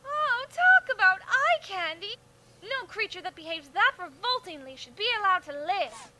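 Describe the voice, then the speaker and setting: A woman's voice: very high-pitched, very animated, normal speed, pushed and bright, full character voice, low-quality recording. A woman voicing self-absorbed, scheming female ponies in a cartoon parody, theatrical and haughty, with noise behind her. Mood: disgusted.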